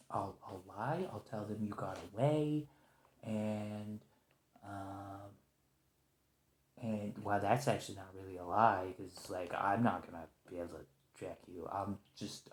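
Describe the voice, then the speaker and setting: A man acting a stressed, weary captive special agent in an ASMR roleplay, rambling, halting and apologetic as he pleads, in a roomy recording. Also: fairly low pitch, a little mellow. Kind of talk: ASMR roleplay monologue, improvised conversational acting.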